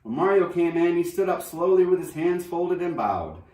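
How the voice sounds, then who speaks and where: A man reading a children's novel aloud in an expressive storybook narration style. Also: sits high, moderate pace. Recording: roomy echo, distant microphone.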